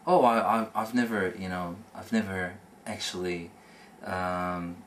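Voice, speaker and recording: A man's voice: deep voice, fairly even intonation, unhurried. A man, by birth, answering interview questions casually and hesitantly, with many fillers and restarts. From Romania. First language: Romanian. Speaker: interviewee, competitive Scrabble player.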